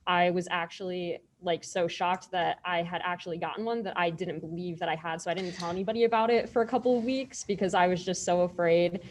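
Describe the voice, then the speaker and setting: A young woman with a low, flat voice speaks on a student panel. She talks in a measured way and pauses often on "um." Faint background noise sits behind her. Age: young adult. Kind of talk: casual personal story.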